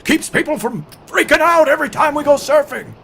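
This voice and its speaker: Super high creaky alien surfer dude, kooky old scientist sound, story reading w music behind. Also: male voice, expressive, fairly bright tone, a little hiss or hum, heavily acted. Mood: explaining, bumbling. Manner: halting surfer slang, stumbling over big words.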